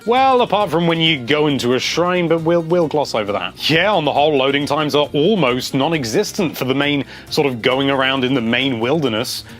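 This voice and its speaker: A man's voice: fairly high pitch, expressive, normal speed, few pauses, a bit bright, soft background music. A man hosting a casual video, reading out viewer comments and chatting about them in a rambling, enthusiastic way.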